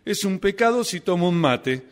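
Man playing an anguished Argentine sufferer, theatrical, wildly swinging pitch, stammering outrage, comic radio drama. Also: expressive, normal speed. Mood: agitated, whining. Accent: Argentine Spanish. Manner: stammering, exasperated, melodramatic. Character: anguished, melodramatic man addicted to mate.

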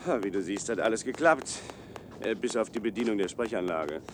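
A somewhat low-voiced young male scientist, played by an actor in a science-fiction radio play. He is awkward and earnest, apologetic and hesitant as he explains himself. A faint rumbling noise sits in the recording. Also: expressive, brisk, natural voice. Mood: earnest, eager. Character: awkward young male biochemistry doctoral student in protective suit. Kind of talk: German science-fiction radio drama acting.

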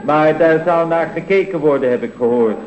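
An actor playing a deferential, matter-of-fact employee in an old radio comedy, explaining things in an understated way. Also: male voice, a little high, moderate pace, background noise, natural voice. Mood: apologetic, explaining. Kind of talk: 1960s-70s Dutch radio comedy acting. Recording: dull, roomy old radio recording.

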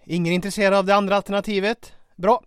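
Chipper high-voiced young male sidekick, acted. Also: fairly even intonation, smooth, no room sound, clean studio sound, close to an everyday voice. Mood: glib, cheeky. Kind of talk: comic radio drama acting.

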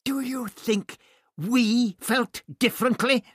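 A man's voice: medium pitch, big pitch swings, slow pace, slightly rough, no echo, studio quality, voice pushed up, exaggerated voice. A man voicing an irascible, authoritative older doctor in an audiobook story, with emphatic, old-fashioned phrasing. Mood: angry, scolding. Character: irascible, authoritative older male doctor, gruff but kindly.

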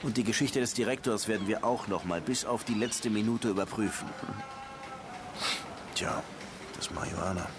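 An actor playing a brooding, world-weary police lieutenant in a radio crime drama, laconic and probing with a melancholy streak. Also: male voice, on the low side, normal speed, long pauses, noisy background, natural voice. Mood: weary, puzzled. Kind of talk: naturalistic radio play acting.

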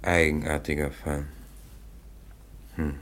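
An actor playing a commanding police chief who gives curt orders in a radio play. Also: male voice, extremely low pitch, flat delivery, slow, a bit bright, full character voice.

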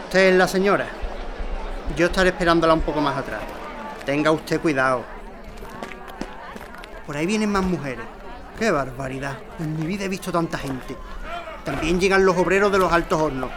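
Man playing a higher-voiced manservant in a crowd. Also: normal speed, long pauses, natural voice. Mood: cautious. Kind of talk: audio drama acting. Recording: noisy street crowd ambience.

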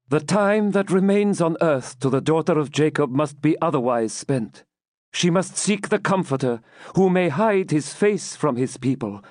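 A brave, devout young woman, as a man reads her lines, answering with earnest, solemn defiance. The voice sits a little higher than usual and moves in a lively way. It is recorded close and dry. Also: dry recording, natural voice.

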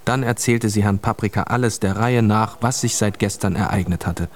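A man's voice: fairly low pitch, normal speed. A man narrating a scripted children's story as the narrator of a radio play. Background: music.

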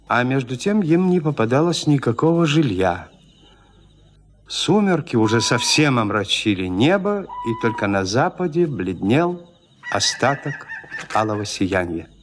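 A man narrating classic literary prose as a storyteller, expressively and with deliberate pauses between phrases. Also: fairly high pitch.

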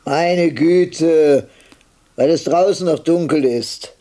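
An actor in a radio drama playing an impatient elderly man who cares for a bedridden partner, weary, brisk and chiding. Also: on the high side, dark tone. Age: older.

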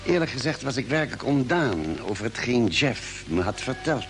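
An actor playing a calm, thoughtful doctor on a space fleet in a radio drama, speaking in a measured, slightly hesitant, soothing way. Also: male voice, mid-range voice, lightly acted. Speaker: actor and first-person narrator. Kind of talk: mid-century Dutch radio drama acting.

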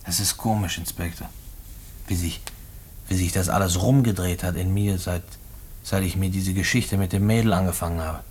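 An actor in a German radio play voicing a condemned prisoner, a working-class family man who speaks haltingly and repeats himself. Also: sits low, steady tone, darkish tone, a little hiss or hum, natural voice. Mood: pensive, resigned.

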